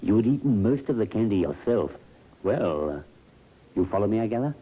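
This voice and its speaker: An actor playing a methodical country doctor with a measured, formal, precise manner in an old radio drama. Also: male voice, fairly low pitch, big pitch swings, breathy edge. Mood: grave, careful. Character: methodical country doctor, measured and quietly wry male professional. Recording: dull, noisy old radio recording.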